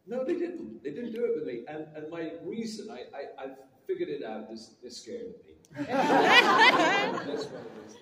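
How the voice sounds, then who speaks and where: A man in his sixties with a British accent and a trace of Canadian, chatting on a convention panel as a self-mocking storyteller. His voice rises and falls a great deal as he talks. The sound is distant and roomy, with a long echo and clear background noise, as if picked up from far back in a hall. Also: on the high side, huge pitch swings, measured pace, hardly any pauses, a loud crowd. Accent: British with a bit of Canadian. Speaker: guest actor on a convention panel.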